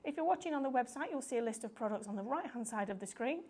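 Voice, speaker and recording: A woman presenting a live craft shopping show, chatty and upbeat, explaining things step by step, her voice a little croaky. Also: fairly high pitch, lively intonation, fast talker, darkish tone. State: slightly croaky voice.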